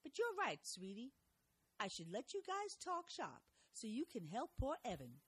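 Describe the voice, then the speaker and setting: A woman's voice: fairly high pitch, wildly swooping pitch, normal speed, fairly bright tone, slightly rough, dry recording, heavily acted. A woman voicing a fiery, motherly small-town housewife who scolds with dramatic swings. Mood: huffy. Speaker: wife of the host figure. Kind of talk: amateur audio drama acting.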